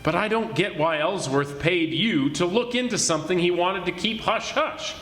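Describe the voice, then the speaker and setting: Man playing an animated, puzzled 1940s police detective. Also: sits high, moderate pace. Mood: puzzled, skeptical. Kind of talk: 1940s radio detective acting. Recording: echoey live stage.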